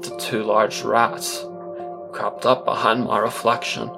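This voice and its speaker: A man's voice: on the low side, little pitch movement, slow. Awkward, earnest male narrator over music. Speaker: narrator and performer. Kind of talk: first-person audio drama monologue.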